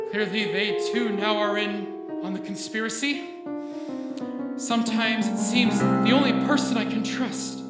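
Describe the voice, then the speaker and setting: An actor playing an unravelling, paranoid scientist in an old-time radio drama, speaking haltingly and repeating himself. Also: male voice, high voice. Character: unravelling paranoid scientist doctor under a brain's control. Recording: echoey stage, loud piano music behind.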